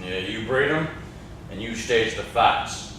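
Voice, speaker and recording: Casting: stern, mournful rustic old cabin man played by a young man, folksy drawl, forceful tone, distant mic in echoey room. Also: on the low side, lively intonation, slow, exaggerated voice. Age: young adult. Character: menacing, mournful old dog-loving cabin man with a rifle. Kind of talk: amateur stage play acting. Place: classroom, indoors. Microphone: far camera. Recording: echoey classroom, distant camera mic, noisy.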